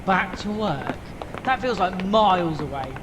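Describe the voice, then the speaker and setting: A man's voice: high voice, expressive, a little slow, noisy background. A man playing a puzzled, mild-mannered new worker in an audio drama, a little tentative.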